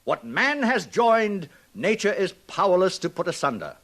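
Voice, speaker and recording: Casting: imperious institute director, high-pitched, swooping, pompous and smugly cold, 1950s radio drama lecturer. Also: male voice, very animated, moderate pace, a bit bright, exaggerated voice. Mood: smug, lecturing. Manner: pompous, declamatory, instructive. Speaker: actor.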